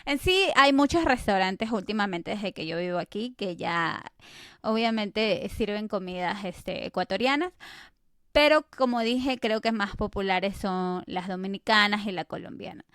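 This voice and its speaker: A woman's voice: mid-range voice, animated, unhurried, a bit bright, clean tone, no room sound, studio quality. A woman from Ecuador co-hosting a podcast, chatting casually with frequent fillers. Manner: conversational, many fillers like "este" and "eh". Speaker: podcast co-host.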